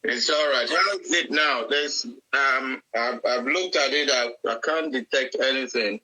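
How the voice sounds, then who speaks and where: Host, male, polite and hesitant, very bright clear tone. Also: medium pitch, a little slow, low-quality recording. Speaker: online chat host. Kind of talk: interview hosting.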